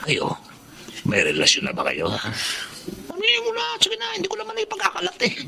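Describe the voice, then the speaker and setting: A man's voice: very high-pitched, wildly swooping pitch, brisk, gravelly, bright, forceful tone, slight background noise, exaggerated voice. A man playing a nosy, teasing co-worker in a Filipino drama, gossipy and insinuating, with a Filipino accent. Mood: conspiratorial. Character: nosy, teasing male co-worker and meddling buddy. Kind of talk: Tagalog radio-style drama acting.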